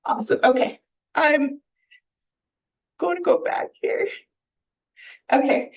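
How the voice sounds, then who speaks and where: A woman's voice: sits high, slow, gravelly, highs cut off. A woman from Hawaii giving an informal presentation as host at a public event. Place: indoor event hall, speaking at a podium.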